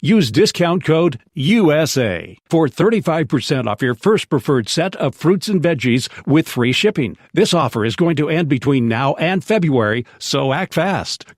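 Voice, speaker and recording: A man reading an advertisement in a brisk promotional manner. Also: fairly high pitch, no echo, clean studio sound. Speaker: ad announcer.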